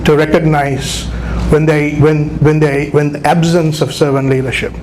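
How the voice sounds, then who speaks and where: A man's voice: fairly high pitch, flat delivery, normal speed, darkish tone. A middle-aged man with a Sri Lankan accent gives a conversational motivational speech to an audience, often checking in with "right?". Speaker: guest motivational speaker. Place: live talk at a business chamber event. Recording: clear background noise, live event.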